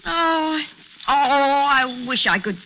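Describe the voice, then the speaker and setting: High, swooping young wife, radio drama. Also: female voice, animated, slow pace, heavily acted. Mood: chatty, bored. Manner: folksy ungrammatical speech, cooing baby talk. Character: lonesome, restless young wife, chatty, childlike, baby-talking to pets. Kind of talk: 1940s radio drama acting. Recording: noisy, dull old radio recording.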